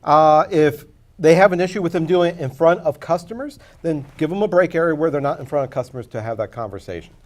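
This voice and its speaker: A man's voice: fairly high pitch, fast. A man on a TV panel giving blunt, opinionated takes on the news. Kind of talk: casual panel discussion.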